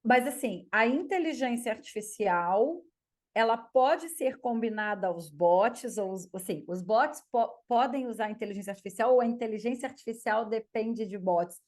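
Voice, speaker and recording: A woman hosts a podcast with a chatty, animated manner. She fires questions at her guest in casual conversation. Her voice sits fairly high. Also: moderate pace. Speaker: podcast host.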